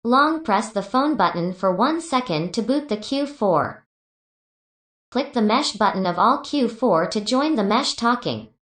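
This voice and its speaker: A woman's voice: fairly high pitch, expressive, unhurried, reverberant. A woman giving scripted product tutorial narration in clipped, step-by-step instructions.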